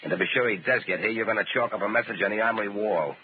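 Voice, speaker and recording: A tinny old radio recording of an actor playing a cocky American racketeer boss with a very low voice. He bullies and sneers in quick, tough slang, in 1930s radio drama style. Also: slight background noise, close to an everyday voice. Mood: cocky, scheming. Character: cocky, bullying small-time male racketeer boss.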